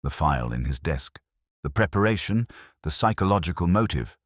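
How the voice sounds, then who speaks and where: A man's voice: sits low, lively intonation, moderate pace, no room sound, clean studio sound. A man voicing an earnest, questioning companion to a detective in an audiobook. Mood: inquiring. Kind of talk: audiobook detective story dialogue.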